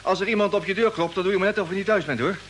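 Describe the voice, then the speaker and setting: Quick, high-voiced chatty space crewman. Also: fairly bright tone. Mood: joking, nervous. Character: chatty, joking, excitable spaceship radio operator. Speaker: radio drama actor. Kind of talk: mid-century radio play acting. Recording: old radio recording with faint hiss.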